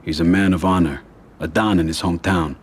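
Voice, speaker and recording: A quiet, controlled mob boss in a crime game cutscene. His voice sits very low with a husky, breathy grain, and he never raises it. Every word is measured and deliberate. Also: steady tone. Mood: cold. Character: calm, cold mafia boss man. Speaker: crime family head. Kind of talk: video game cutscene acting.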